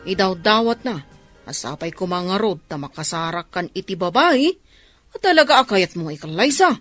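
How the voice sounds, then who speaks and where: A woman's voice: on the low side, very animated, normal speed, fairly bright tone, dry recording, faint music behind. A woman acting a helpful, candid young go-between with an Ilocano Filipino accent in a radio drama. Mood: frank.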